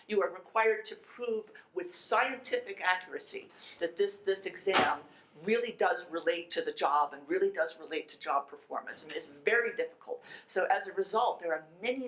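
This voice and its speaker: A woman speaking as a panelist in a measured, halting way as she explains a point. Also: fairly low pitch, a bit bright, poor audio. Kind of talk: explanatory remarks at a panel discussion.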